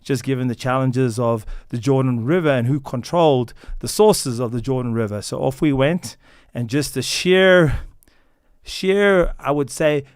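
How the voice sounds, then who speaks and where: American guy being interviewed, earnest, lots of ums, smooth clear voice. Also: medium pitch, no room sound, clean studio sound. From United States. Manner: measured, earnest, many fillers and restarts. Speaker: company founder guest. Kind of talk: interview answers.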